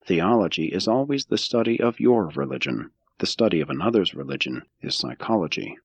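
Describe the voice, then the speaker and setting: A man reading a scripted audiobook of religious philosophy in a measured, solemn, deliberate way. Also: low voice, lively intonation, creaky, breathy edge, dry recording. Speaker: audiobook narrator.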